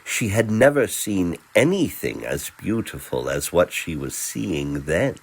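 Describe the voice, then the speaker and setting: Slow, husky male storyteller, highly expressive. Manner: tender, theatrical, with dramatic pauses. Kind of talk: dramatic scripted narration of a fantasy romance.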